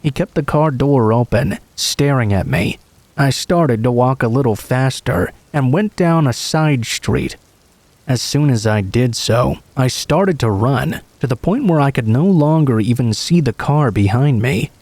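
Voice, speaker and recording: A man narrates scripted first-person horror stories in a measured, storytelling delivery. His voice sits at a middling pitch, and the recording is dry, with hardly any room sound around it. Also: animated, a little mellow, dry recording.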